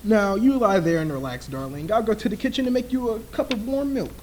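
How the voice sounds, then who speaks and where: A man playing a smooth, menacing husband in an old-time radio thriller, outwardly soothing and patronizing. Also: high voice, brisk, a little mellow, slight background noise, lightly acted. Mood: soothing, insistent. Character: smooth, menacing husband toying with his frightened wife.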